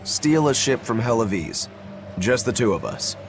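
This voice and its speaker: A wry, doubtful sidekick in a video game, mid-pitched with very animated intonation. He questions things over faint music. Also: measured pace. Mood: wary. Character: wry, doubtful male companion of an outlaw.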